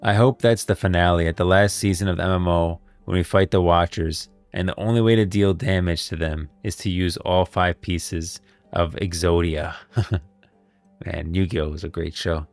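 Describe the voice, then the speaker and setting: A man's voice: low voice, fairly even intonation, moderate pace, dry recording, faint music behind. A man hosting a livestream, talking casually about game lore and rambling as he thinks aloud. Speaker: livestream host.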